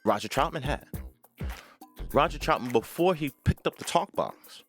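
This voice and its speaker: A man's voice: medium pitch, lively intonation, moderate pace. A man giving an informal music tutorial, talking emphatically, repeating phrases and often checking in with a short questioning tag. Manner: emphatic, repeats phrases, seeks agreement with "right?". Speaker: music tutorial host, talkbox player. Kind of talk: informal instructional talk to camera.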